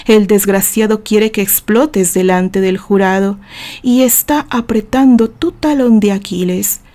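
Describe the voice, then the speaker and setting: A Mexican woman voicing a protective older uncle, hushed and steadying, in an audio novel. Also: medium pitch, unhurried, close to an everyday voice. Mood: calming, urgent. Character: protective older uncle calming his hot-headed nephew.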